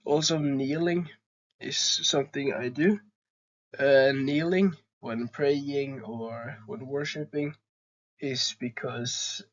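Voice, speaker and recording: A man's voice: medium pitch, highs cut off. A young man with a Norwegian accent, hosting his own channel and talking earnestly with frequent hesitations. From Norway. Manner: hesitant, many "uh" fillers, earnest. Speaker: channel host. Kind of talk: casual talking-to-camera vlog.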